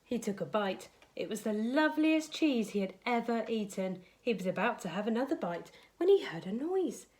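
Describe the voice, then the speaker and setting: A woman's voice: fairly high pitch, sing-song, normal speed, few pauses, darkish tone, a little hiss or hum. A woman reading a children's bedtime story aloud, expressively dramatising it for young listeners.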